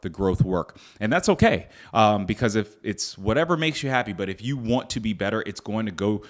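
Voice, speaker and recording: Man, young adult, from Northern Virginia, podcast host, earnest, reflective, lowish voice. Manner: earnest, reflective, frequent fillers and restarts. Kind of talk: casual solo podcast talk.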